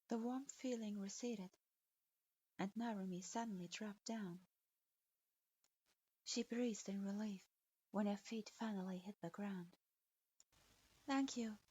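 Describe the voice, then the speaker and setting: A woman narrating fan fiction in a slow, measured reading. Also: medium pitch, darkish tone, breathy edge. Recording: dull lo-fi recording.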